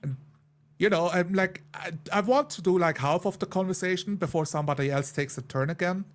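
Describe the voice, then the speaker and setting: A man in a casual discussion as a game player, talking haltingly as he thinks aloud. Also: high voice. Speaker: tabletop role-playing player.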